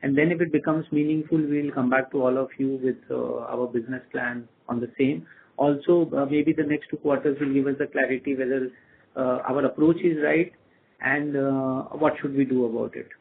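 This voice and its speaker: A man with an Indian accent and a husky voice, answering analysts on an earnings call as a company executive, hesitantly and with repeated phrases. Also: mid-range voice, steady tone, normal speed. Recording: muffled narrow phone conference line.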